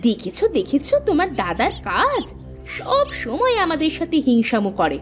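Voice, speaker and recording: A woman voicing a feisty, sharp-tongued younger village wife in cartoon dialogue. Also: high voice, wildly swooping pitch, moderate pace, faint music behind. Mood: aggrieved.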